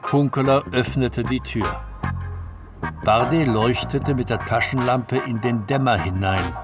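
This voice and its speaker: A man's voice: medium pitch, a little slow, music in the background. A man reading scripted narration for a radio drama.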